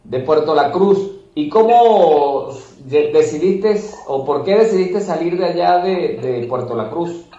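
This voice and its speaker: A man's voice: fairly high pitch, lively intonation, slow pace, few pauses, mellow. A man from Venezuela with a Venezuelan accent, reporting and interviewing as a radio correspondent. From Guárico, Venezuela. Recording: echoey, muffled, noisy remote line.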